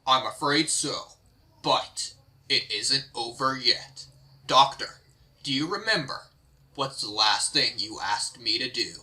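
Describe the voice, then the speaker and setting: Man acting piercingly bright melodramatic scientist. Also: medium pitch, animated, takes it slowly, exaggerated voice. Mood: solemn. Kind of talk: amateur anime-style drama table read.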